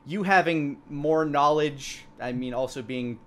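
A man in his thirties improvising narration as dungeon master of a tabletop game, in a halting, conversational way. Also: fairly high pitch.

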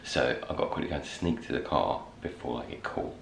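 A man's voice: a bass voice, expressive, quick pace. A man in his forties with an English accent, chatting casually as a father on a family vlog. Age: 40s. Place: bedroom and kitchen at home. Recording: echoey rooms, clear background noise.

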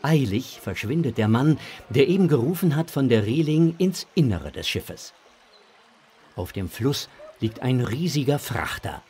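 A man's voice: mid-range voice, vocal fry, a little mellow. A man narrating a children's audio drama in a dramatic storytelling manner.

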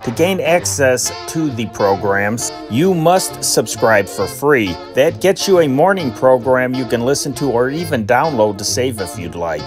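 A man with an American accent hosting and introducing programs in an informal, partly scripted style. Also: medium pitch. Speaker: host. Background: music.

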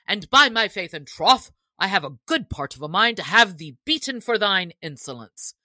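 A woman voicing a haughty, quick-tempered male sheriff from a storybook, speaking in pompous archaic phrases. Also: medium pitch, big pitch swings, pushed and bright, no echo, pitched above the performer's own voice, exaggerated voice. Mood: furious.

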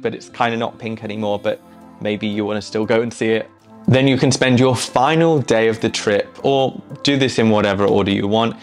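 A young man giving enthusiastic, chatty travel tips to camera while seated in a small living room. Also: fairly low pitch. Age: young adult. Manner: easygoing, enthusiastic, chatty with fillers. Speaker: travel vlogger. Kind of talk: casual travel recommendations to camera.

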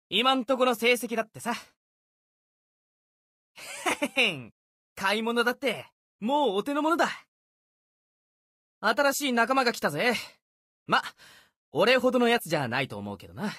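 A man voicing a brash, boastful young sword warrior for an anime-style game, youthful and husky-edged, with cocky, casual boyish speech. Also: very high voice, no echo, studio quality, exaggerated voice. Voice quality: youthful, bright, husky edge.

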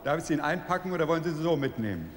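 A man's voice: on the high side, animated, very fast, slight background noise. A middle-aged man from Berlin, a guest on a TV show, telling an anecdote in a dry way on a big studio stage. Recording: echoey studio hall.

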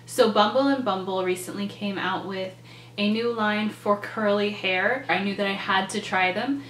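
A woman's voice: mid-range voice, moderate pace, fairly bright tone. Stuffed-up young woman in an echoey bedroom. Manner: chatty and enthusiastic, many ums and likes. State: stuffed up and nasal from a cold. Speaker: beauty vlogger. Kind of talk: casual product review to camera. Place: bedroom, indoors. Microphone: camera in front, seated. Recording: echoey room with faint hum.

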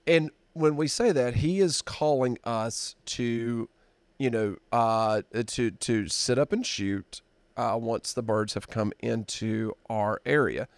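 A man in his fifties hosting a podcast, telling a story in a halting, drawn-out way with many fillers, at a desk mic in a dark studio. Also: mid-range voice, dry recording. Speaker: podcast host. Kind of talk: casual storytelling chat.

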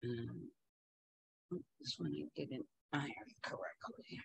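A woman's voice: very deep voice, fairly even intonation, slow, vocal fry, husky. A middle-aged woman teaching quilting in a casual, practical way, chatting as she pins and sews in her home sewing studio. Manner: chatty, practical, talks while working.

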